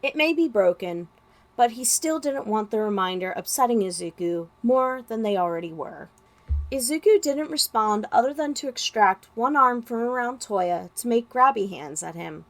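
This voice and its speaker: A woman reading a story as narrator, with expressive, dramatic delivery. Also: a little high, normal speed. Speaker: podfic narrator.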